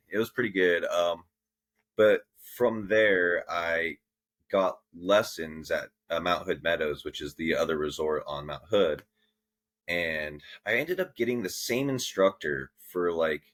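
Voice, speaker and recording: A young man from the Pacific Northwest, a podcast guest, chatting casually and rambling with lots of fillers. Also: low voice. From Vancouver, Washington. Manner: relaxed, rambling with many fillers. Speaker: podcast guest, snowboard instructor. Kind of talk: casual chat.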